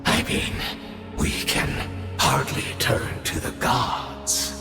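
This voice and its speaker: A man voicing an ancient, eroded knight-instructor in a video game, courteous and wistful with a quiet menace, speaking formally and haltingly in a gravelly, breathy voice. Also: very deep voice, completely flat delivery. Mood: solemn, wistful. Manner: slow, halting, formal, measured. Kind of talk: video game dramatic voice acting. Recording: echoey cavernous reverb, music behind.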